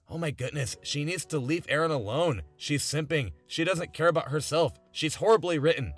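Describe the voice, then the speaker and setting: A man's voice: fairly high pitch, a bit bright, no echo. A young man narrating a scripted video essay in an earnest, emphatic, conversational way. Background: background music.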